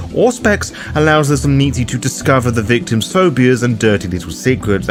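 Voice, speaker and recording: A man hosting a game guide, reading scripted narration in an instructive way that speaks straight to the listener. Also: mid-range voice. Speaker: host of a roleplaying game guide.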